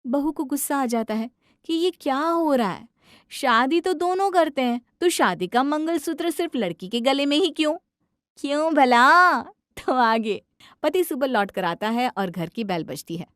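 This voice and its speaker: A woman's voice: sits high, expressive, measured pace, fairly bright tone, dry recording, studio quality. A woman storyteller giving dramatic narration for a cartoon story.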